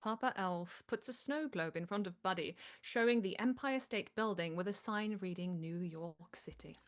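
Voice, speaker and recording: A woman's voice: mid-range voice, normal speed. A woman reading stage directions as scripted narration at a live table read.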